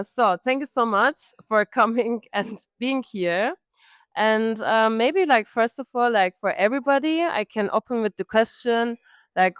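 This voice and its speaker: A woman moderates a live panel talk, pausing often on fillers as she frames her questions. Her voice is very clear and the sound is dry and close. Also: fairly high pitch, no echo. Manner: hesitant, many fillers and likes. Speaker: podcast host and panel moderator.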